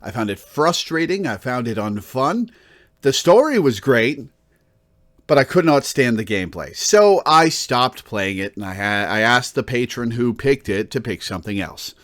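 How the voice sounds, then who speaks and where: A man's voice: mid-range voice, lively intonation, normal speed, fairly bright tone. A man hosting a livestream in a casual, rambling way, musing and thinking aloud as he goes. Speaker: livestream host. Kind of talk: casual rambling stream chat.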